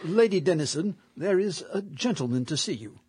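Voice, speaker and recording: An actor playing a courteous Victorian butler in a radio drama, formal, deferential and understated in manner. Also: male voice, fairly high pitch, lively intonation, normal speed, a little mellow, breathy edge, dry recording.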